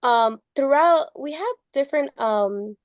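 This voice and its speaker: A woman's voice: high voice, lively intonation, a little slow, no room sound, highs cut off. A young woman from Chicago, a musician guest speaking from a studio, chatty and giggly with a nasal voice. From Chicago, Illinois. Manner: giggly, chatty, lots of likes. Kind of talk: casual interview. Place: recording studio.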